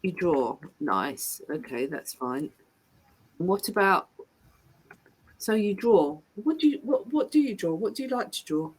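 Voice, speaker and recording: Patient female tutor, slow, slightly husky delivery. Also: on the low side, very animated, rough recording. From England. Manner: patient, repeats and rephrases questions, many restarts. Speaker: English tutor. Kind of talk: online one-to-one English lesson.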